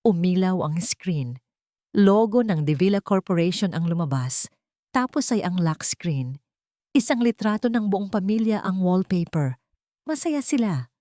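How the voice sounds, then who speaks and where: A woman narrating a dramatic scripted story in a suspenseful, deliberate way, in short phrases. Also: fairly low pitch, lively intonation, warm tone, no echo, studio quality. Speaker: narrator of a Tagalog audio drama.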